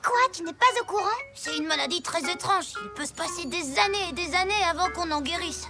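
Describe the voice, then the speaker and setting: Very high, confident cartoon kid. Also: female voice, animated, fairly quick. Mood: mock-dramatic. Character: clever, scheming young child, helpful sidekick. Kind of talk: animated cartoon acting. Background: music.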